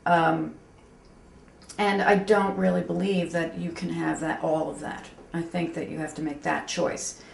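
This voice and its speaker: A woman's voice: low-pitched, level tone, measured pace, creaky. A woman in her fifties, a graphic designer, speaking frankly and self-deprecatingly in an interview, with many fillers and restarts. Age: 50s. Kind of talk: candid reflective interview talk. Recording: echoey room with faint background hum.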